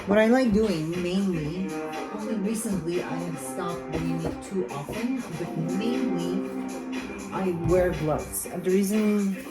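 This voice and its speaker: A low-voiced woman with an Armenian accent talks her way through a home cooking video, loose and chatty, full of fillers and restarts. Her native language is Armenian. Loud music plays behind her in the kitchen. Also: slow pace, low-quality recording. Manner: chatty, rambling, self-correcting with fillers. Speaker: cooking vlogger. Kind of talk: casual cooking vlog.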